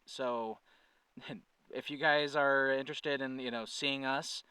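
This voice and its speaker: A man's voice: mid-range voice, steady tone, takes it slowly, bright, forceful tone, smooth, no echo, far from the mic, rough recording. A man speaking as a channel host in a casual, earnest update to viewers, thinking aloud and correcting himself as he goes. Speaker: channel creator and host, writer-producer.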